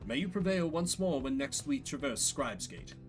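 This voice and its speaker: A man's voice: on the high side, lively intonation, soft background music, lightly acted. A man voicing a solemn, courtly minstrel guide in a fantasy game, speaking in formal, old-fashioned phrases. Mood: solemn, approving. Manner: formal, measured, archaic phrasing.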